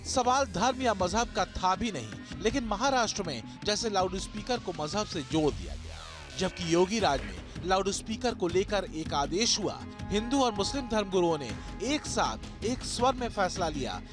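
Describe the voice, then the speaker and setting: A man's voice: high voice, sing-song, moderate pace. A man delivering dramatic scripted television news narration in an emphatic, rhetorical manner. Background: background music.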